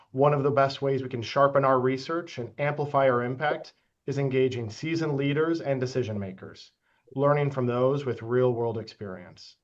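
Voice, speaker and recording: A man with a mid-pitched voice speaks as a moderator in a formal, measured way, as if from prepared remarks. His phrasing is orderly and scripted. The audio is slightly dull and muffled. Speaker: moderator introducing and questioning a guest. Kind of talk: formal scripted introduction and interview questions.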